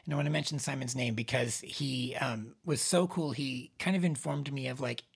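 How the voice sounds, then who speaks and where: A middle-aged man co-hosting a podcast in casual chat, with a voice he calls a bit high, rambling with many restarts. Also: moderate pace, vocal fry, slightly rough. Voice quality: says his voice is on the high side. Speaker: podcast co-host.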